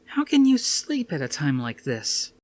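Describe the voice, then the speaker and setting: Guy doing a worried lady scientist in an audiobook, higher pitched, frank and kinda exasperated. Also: huge pitch swings, unhurried, a little mellow. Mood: uneasy. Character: anxious, practical woman scientist, frank and questioning.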